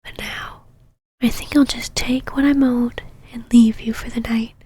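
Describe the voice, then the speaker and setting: Casting: woman to play domineering female vampire knight for ASMR roleplay, breathy whisper, unhurried, teasing and possessive. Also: fairly high pitch, steady tone, warm tone, no room sound. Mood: hungry, commanding. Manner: slow, teasing, commanding.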